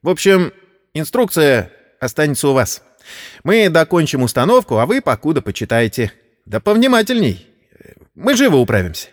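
A man voicing a brisk, officious technician who gives bustling instructions in an audio play. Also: fairly high pitch, sing-song, long reverb tail. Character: brisk, officious male technician installing a kitchen machine.

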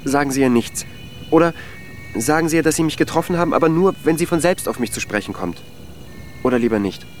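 A man's voice: on the high side, lively intonation, quick pace, slight background noise, close to an everyday voice. An actor playing a needy, insecure, lovelorn man who dithers and pleads in a naturalistic radio drama. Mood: anxious, dithering.

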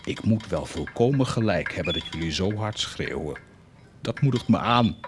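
An actor playing a proud, grandiose army commander turned zealous do-gooder, declaiming theatrically in a radio drama. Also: male voice, low-pitched, animated, normal speed, soft background music. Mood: indignant. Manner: declamatory, swinging from confiding to indignant.

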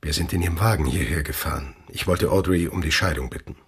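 Sehr rauer, tiefer, ernster Vater. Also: male voice, rumbling low, fast, husky, exaggerated voice. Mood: grave, remorseful. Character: troubled middle-aged father confessing gravely. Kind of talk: German radio play acting.

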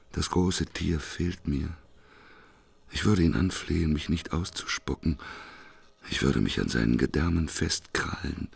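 An actor playing a despairing, raging doomsday prophet in a radio play, with a hoarse, raspy voice. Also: male voice, rumbling low, heavily acted. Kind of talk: avant-garde German radio play monologue.

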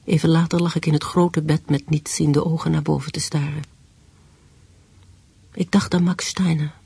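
An actress playing a recently widowed, grieving woman in a radio play, husky and breathy, with a subdued, intimate manner. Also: low voice, level tone, moderate pace, mellow, no echo, full character voice. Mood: sorrowful, intimate. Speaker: actress and first-person narrator. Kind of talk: radio play acting and narration.

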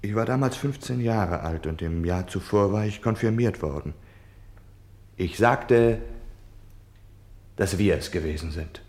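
An actor playing a defiant, self-justifying German man in a radio play, declaiming theatrically between hushed confiding and shouting. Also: on the low side, unhurried, long pauses, echoey room, lightly acted. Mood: confiding, insistent.

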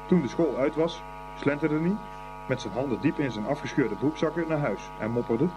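A man's voice: mid-range voice, moderate pace. A man narrating a scripted story as the narrator of a radio play. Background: music.

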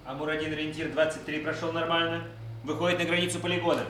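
An actor playing a dutiful military radio operator, reporting formally and crisply in a radio play. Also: male voice, on the high side, flat delivery, fast talker, a bit bright, natural voice. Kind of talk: Soviet radio play acting. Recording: noisy, echoey, distant radio-report sound.

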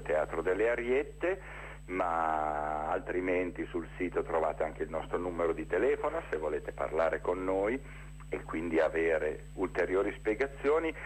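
A low-pitched man gives an explanatory radio interview. He speaks spontaneously, pausing inside phrases and repeating words for emphasis. The line carries faint noise. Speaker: interview guest, theatre director presenting a festival. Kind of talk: spontaneous informational interview talk.

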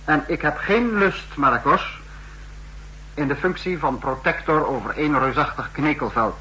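An actor playing a cold, commanding head of state who speaks formally and sternly in a 1960s radio drama. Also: male voice, a little high, sharp tone, slight background noise, low-quality recording. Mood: stern, grave. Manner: stern, formal, measured pronouncements. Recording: old 1960s radio recording.

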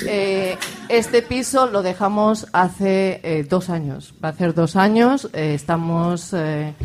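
A woman giving an informal speech to present a book, halting as she searches for words. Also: fairly low pitch, a little mellow.

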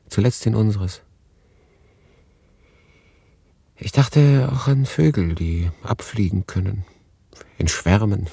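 Low, gravelly, weary refugee narrator. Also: male voice, mellow. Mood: brooding. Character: weary, reflective male refugee narrator looking back. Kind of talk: dramatic first-person narration in a radio play. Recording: music behind the voice.

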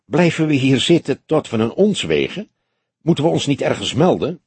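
A man's voice: mid-range voice, big pitch swings, moderate pace, a little mellow, husky, no echo, voice pushed up. A man voicing a young male detective sidekick, restless and questioning, in an audiobook. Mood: impatient.

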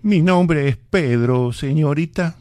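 A man with an Argentine accent playing a gentle, resigned old grandfather in a radio drama, speaking in a weary, patient way. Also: fairly high pitch, takes it slowly, no echo. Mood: firm, dignified. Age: older.

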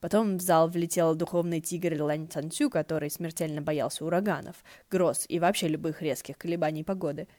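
A woman reading fan fiction aloud as an audiobook narrator, in an expressive storytelling style. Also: low voice, fairly quick, few pauses, no room sound.

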